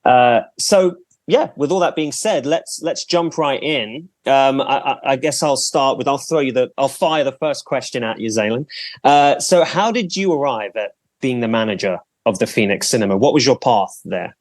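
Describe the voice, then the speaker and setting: A man's voice: medium pitch, expressive, normal speed, dry recording. A man hosting a podcast, introducing a guest and asking questions in a friendly, chatty way, reacting with enthusiasm. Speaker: podcast host. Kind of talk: casual podcast interview.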